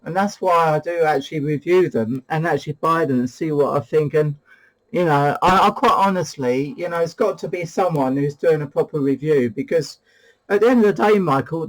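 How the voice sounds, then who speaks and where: A man's voice: on the high side, poor audio. A British man hosting a CB radio video, chatting casually and hesitantly, often repeating his phrases. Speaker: host of a CB radio review video. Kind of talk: casual two-way radio chat.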